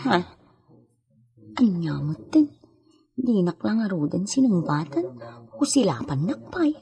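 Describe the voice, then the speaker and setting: A woman's voice: mid-range voice, big pitch swings, unhurried, gravelly, warm tone, breathy edge, full character voice. A woman acting an eager, coaxing female helper who tends a sick lady in an Ilocano radio drama. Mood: peeved, apologetic. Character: Edita, caring chatty female helper tending a sick lady. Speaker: voice actor.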